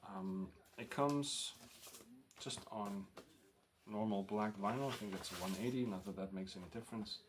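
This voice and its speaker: A man with a Danish accent talks casually through his record haul, pausing often with ums and uhs. His voice sits a little low. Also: slight background noise. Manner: rambling, full of ums and uhs. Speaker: record collector vlogger.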